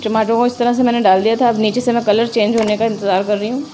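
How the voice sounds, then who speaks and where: A woman hosting a home cooking video, calmly explaining each step as she cooks in her kitchen. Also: a little high, quick pace, soft background music. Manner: calm, methodical, names each step as she works. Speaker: cooking channel host.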